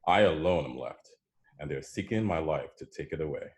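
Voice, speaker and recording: Guy playing a weary old testament prophet, deep voice, despairing but zealous, bible reading. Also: expressive, a little fast. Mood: earnest, aggrieved. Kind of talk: dramatized scripture reading.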